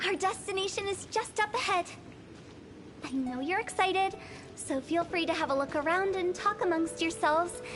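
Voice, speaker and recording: A woman's voice: very high voice, moderate pace. A woman voicing a playful, cryptic young woman guide in a video game, with a teasing, breezy, theatrical manner. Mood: breezy, welcoming. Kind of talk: video game character dialogue. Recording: music behind the voice. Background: game music.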